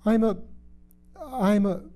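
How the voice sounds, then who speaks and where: A man's voice: high-pitched, slow pace, mellow, voice pushed up. A man voicing a puzzled, polite little girl who speaks earnestly in a storybook reading. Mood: doubtful. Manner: earnest, hesitant, protesting.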